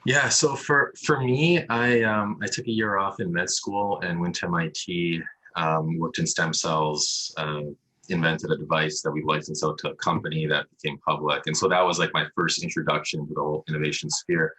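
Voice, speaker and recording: Male interview guest, doctor: extremely low, slightly raspy voice, explanatory and conversational, on a video call. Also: fairly even intonation, moderate pace, sharp tone, low-quality recording. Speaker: emergency radiologist, interview guest. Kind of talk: casual interview answers. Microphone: video call microphone.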